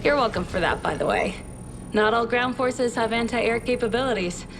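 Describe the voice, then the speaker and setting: An actress playing a confident military scientist who briefs a commander in a brisk, businesslike, wryly conversational way. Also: female voice, a little high, music in the background, lightly acted. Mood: businesslike, explanatory. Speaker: research chief and mission briefer. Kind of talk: scripted game mission briefing.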